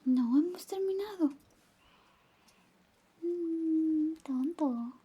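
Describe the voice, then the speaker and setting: A woman with a high, mellow voice plays a doting, playfully jealous best friend in an ASMR roleplay. She speaks slowly and softly, slipping in and out of whispers. Her manner is coy and teasing, with little murmured interjections. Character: affectionate, teasing, jealous young female best friend in love with the listener. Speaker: ASMR performer. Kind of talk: soft-spoken ASMR roleplay with personal attention, partly whispered.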